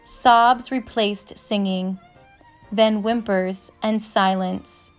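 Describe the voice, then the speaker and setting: A woman narrator reading a children's picture book aloud from a script, with measured, careful phrasing. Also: mid-range voice, fairly even intonation. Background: instrumental music.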